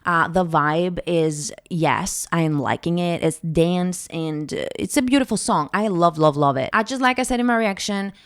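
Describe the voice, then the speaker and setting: A young woman from Greece talks casually through her song rankings, gushing and repeating words when she loves something. Her voice sits a little low. The sound is dry and close, with no room around it. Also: normal speed, few pauses, smooth, dry recording. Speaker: vlogger ranking song contest entries. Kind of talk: casual reaction chat.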